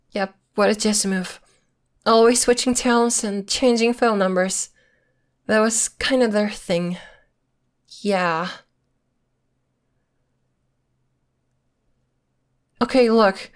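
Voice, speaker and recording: A woman's voice: a little high, close to an everyday voice. A woman playing a possessive girlfriend who is secretly a witch, coy and sweetly menacing, in an ASMR roleplay. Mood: breezy, evasive. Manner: coy, teasing, veiled threats in a sweet tone.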